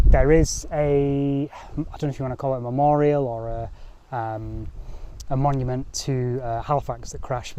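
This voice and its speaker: A man's voice: mid-range voice, dark tone, a little hiss or hum. Rambling young man filming outdoors. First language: English. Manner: rambling, lots of ums and restarts. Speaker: history vlogger. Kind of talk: casual history talk to camera. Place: outdoors on a mountainside.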